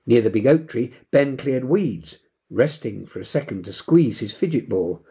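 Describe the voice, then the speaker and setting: A man in his seventies reading a children's storybook aloud with expressive narration. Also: mid-range voice, normal speed, breathy edge. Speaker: storybook reader. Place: indoor room at home.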